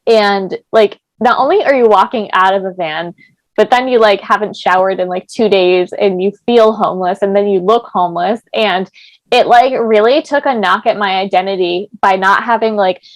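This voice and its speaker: A woman's voice: medium pitch, normal speed, dry recording. A young woman hosting a podcast, chatting casually and filling her talk with "like". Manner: chatty, lots of "like" fillers. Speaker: podcast host. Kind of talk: casual chat.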